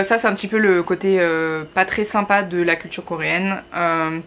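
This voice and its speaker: A woman's voice: mid-range voice, a bit bright. Young woman, from France, casual vlog chat, wry asides, bedroom to camera. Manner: chatty, many fillers, wry asides. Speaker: vlogger, master's student. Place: small bedroom indoors.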